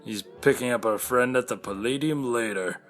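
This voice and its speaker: A man's voice: medium pitch, moderate pace, a bit bright, soft background music, lightly acted. An actor playing a curt, businesslike villain's henchman in an audio play. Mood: curt, conspiratorial. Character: curt, businesslike male henchman hunting fugitives.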